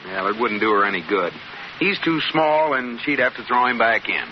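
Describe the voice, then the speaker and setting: An actor playing a firm, exasperated husband in a 1950s radio sitcom, emphatic and dryly joking. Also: male voice, mid-range voice, expressive, moderate pace, close to an everyday voice. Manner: insistent, dry, emphatic. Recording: muffled old radio recording, faint noise.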